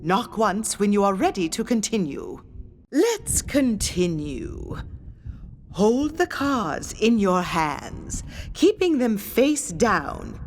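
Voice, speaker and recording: An actress playing a haughty, scornful ghost medium who guards a haunted mansion, speaking in a theatrical, condescending monologue. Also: female voice, mid-range voice, a little hiss or hum. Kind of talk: theatrical scripted monologue addressed to the listener.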